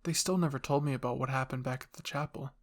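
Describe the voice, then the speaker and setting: Man voicing a young woman's soft, wondering thoughts. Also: mid-range voice, fairly even intonation, quick pace, lightly acted. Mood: anxious, wondering. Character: mute young woman's anxious, curious inner thoughts.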